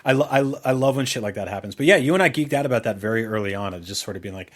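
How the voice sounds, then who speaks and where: A man's voice: lowish voice, lively intonation, rapid-fire. A man co-hosting a podcast, chatting casually in a rambling, enthusiastic way with plenty of swearing. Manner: rambling, enthusiastic, profane storytelling. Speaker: podcast co-host. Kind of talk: casual chat.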